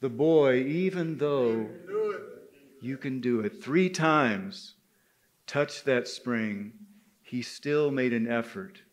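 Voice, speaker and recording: A man telling a story to a live gathering in a deliberate, dramatic way, with pauses, as the leader of the group. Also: medium pitch. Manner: deliberate, pausing, addresses listeners as brothers. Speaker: storyteller leading a men's spirituality gathering.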